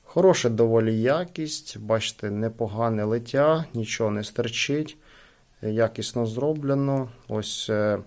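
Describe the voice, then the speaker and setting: A man giving a casual, hands-on product review, speaking haltingly as he thinks aloud. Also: mid-range voice, darkish tone. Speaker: gadget unboxing reviewer.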